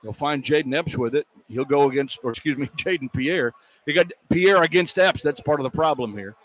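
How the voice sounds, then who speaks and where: A man's voice: fairly high pitch, fairly quick, poor audio. An American man calling live basketball play-by-play and reading sponsor spots as a radio announcer. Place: broadcast position inside a large indoor arena. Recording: live arena broadcast with background noise. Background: arena ambience and noise.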